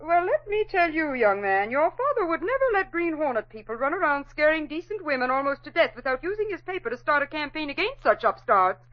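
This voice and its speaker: A woman's voice: high voice, big pitch swings, brisk, hardly any pauses. An actress playing a crabby, bossy old woman, fussy and indignant, scolding in an old-fashioned way in a 1940s radio drama. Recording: muffled old radio recording.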